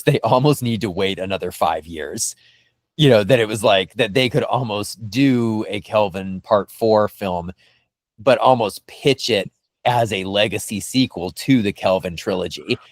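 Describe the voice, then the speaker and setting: A man's voice: on the low side, no room sound, clean studio sound. A man co-hosting a podcast in casual, speculative discussion, rambling with lots of "you know" and "like". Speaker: podcast co-host.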